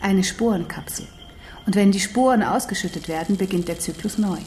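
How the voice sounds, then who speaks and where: An actress in a German radio drama playing a learned, patient non-human teacher who instructs precisely and firmly. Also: female voice, medium pitch, a little fast, darkish tone, a little hiss or hum, close to an everyday voice. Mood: instructing.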